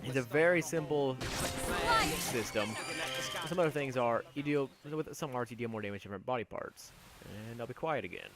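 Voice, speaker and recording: Man, mid-range voice, casual gameplay commentary, rambling, self-deprecating, game music clearly behind. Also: mid-range voice, brisk. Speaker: gaming video host.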